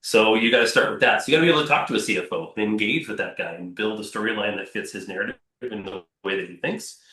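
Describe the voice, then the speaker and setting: A man's voice: fairly low pitch, fast, bright, forceful tone. A man who founded a robotics company, talking with energy and emphasis and often repeating his words. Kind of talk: panel discussion answer. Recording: echoey room, off-mic.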